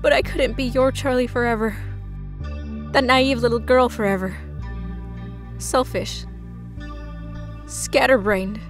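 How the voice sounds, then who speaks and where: Woman acting high-voiced, intense, insistent young woman. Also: steady tone, takes it slowly, pauses often. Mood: bitter, anguished. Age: young adult. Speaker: voice actor in a comic dub. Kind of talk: dramatic dialogue. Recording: music behind the voice.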